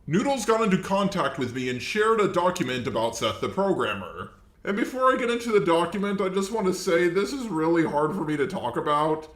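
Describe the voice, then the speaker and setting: A man's voice: high voice, sing-song, fairly quick, echoey room. A man hosting an informal scripted commentary video, talking in a blunt, casual way with some swearing. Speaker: host, commentator.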